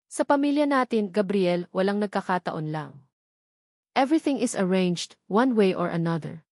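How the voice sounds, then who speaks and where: Woman doing cocky big brother in an audio story, slow mocking drawl, dry sound. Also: mid-range voice, lively intonation, a little mellow, dry recording. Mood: smug. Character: smug, mocking older brother, a young man.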